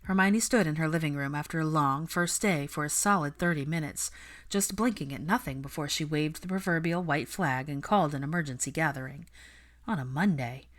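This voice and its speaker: A woman's voice: sits low, fairly quick. A woman reading fiction aloud as an audiobook narrator, in a scripted storytelling style.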